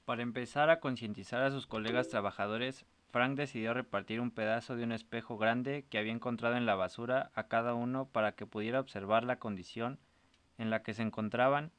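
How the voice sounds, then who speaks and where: Smooth, clear male storyteller. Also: medium pitch, steady tone, fairly quick, fairly bright tone, no room sound. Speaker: story narrator. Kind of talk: scripted storytelling narration.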